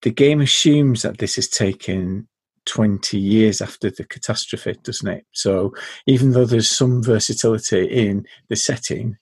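A man with a lowish, slightly husky voice, hosting a casual podcast chat. He talks in an easy, relaxed way, recounting things with brief agreements in between. The recording is dry and close. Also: a little slow, no echo. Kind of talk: casual chat about tabletop games.

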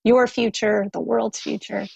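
A woman's voice: a little high, level tone, measured pace, creaky, darkish tone, slightly rough, no echo, dull audio. A woman hosting a casual interview, asking questions in a conversational style. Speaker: podcast interview host.

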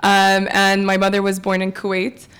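Smooth, flat-voiced woman from California. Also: mid-range voice, normal speed. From United States, California. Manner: soft-spoken, many fillers. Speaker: podcast guest. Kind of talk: casual interview talk.

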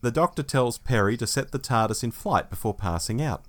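Male podcast presenter for scripted story recaps. Expressive, wide-ranging delivery at a middling pitch. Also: fairly quick. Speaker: podcast host. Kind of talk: scripted plot summary narration.

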